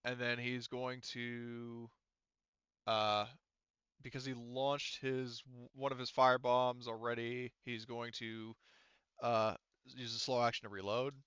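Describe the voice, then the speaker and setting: Man, game master, casual and profane, crisp forceful voice, mutters to himself, slightly dull close recording. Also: medium pitch, little pitch movement, takes it slowly, dry recording. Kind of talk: running a tabletop roleplaying game online.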